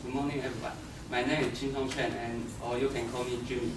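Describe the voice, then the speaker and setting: A man's voice: mid-range voice, level tone, fast. A young man with a Taiwanese accent introducing himself to a group as a student. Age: young adult. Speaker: PhD student. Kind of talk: self-introduction. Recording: noisy, echoey room, far from mic.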